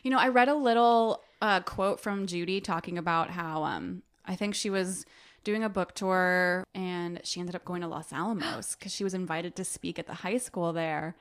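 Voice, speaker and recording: A woman's voice: fairly low pitch, moderate pace, clear, resonant tone. An American woman co-hosting a book podcast in casual chat, informal and prone to giggling. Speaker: podcast co-host.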